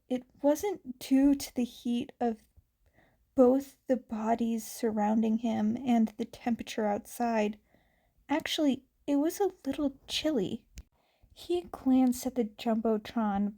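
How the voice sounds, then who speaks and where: A woman reads a fan fiction story aloud slowly, with quiet, calm narration. Her voice is fairly high and her tone stays mellow and warm. She takes her time over each phrase. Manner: slow, quiet, calm reading. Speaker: podfic reader.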